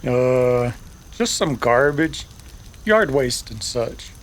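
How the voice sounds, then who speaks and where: A man's voice: medium pitch, animated, takes it slowly. A man playing an easygoing but guarded cowboy rancher with laconic, folksy phrasing in a Western radio drama.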